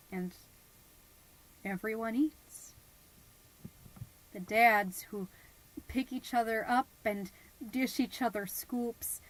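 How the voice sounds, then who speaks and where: A woman playing a chatty, high-strung suburban mom podcaster, telling stories conversationally with dramatic, confiding asides. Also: poor audio. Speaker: podcast host character. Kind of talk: scripted comedic monologue storytelling, conversational.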